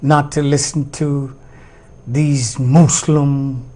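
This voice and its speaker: A man's voice: mid-range voice, little pitch movement, very slow, mellow. A man in his sixties from Jamaica, a guest speaking reflectively and hesitantly about his life. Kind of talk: casual interview conversation.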